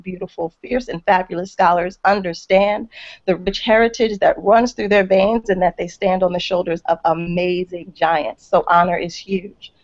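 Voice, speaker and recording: A woman school leader from Brooklyn, New York, speaking passionately and emphatically in a panel chat. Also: medium pitch. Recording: dull lo-fi sound.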